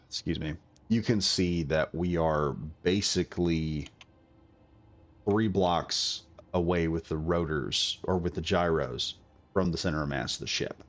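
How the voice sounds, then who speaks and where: A man's voice: deep voice, a little slow. A man recovering from a cold, coughing now and then, giving a rambling, chatty commentary on a game build. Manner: rambling, chatty, self-correcting. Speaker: gaming video creator.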